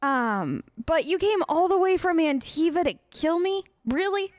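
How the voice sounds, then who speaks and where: Girl gamer doing chatty in-character replies, high pitched, rambly and informal, very dry sound. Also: animated, unhurried, no echo. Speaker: let's play host role-playing her game character. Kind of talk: casual improvised in-character chat.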